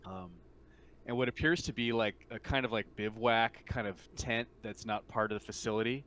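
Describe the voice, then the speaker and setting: A man running a tabletop game as game master, narrating casually in halting, hesitant phrases over online play. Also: fairly low pitch, a bit bright, slight background noise.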